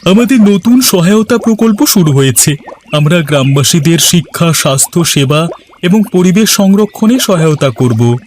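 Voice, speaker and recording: A man's voice: on the high side, expressive, normal speed, darkish tone, lightly acted. A man playing an earnest, helpful character in a village cartoon, making formal declarations. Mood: resolute. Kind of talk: scripted cartoon dialogue.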